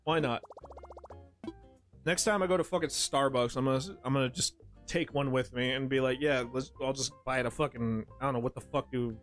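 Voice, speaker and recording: A man's voice: mid-range voice, steady tone, a little fast. Male streamer, casual and profane, rambles through jokey stories over faint game music. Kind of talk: casual gaming stream chat.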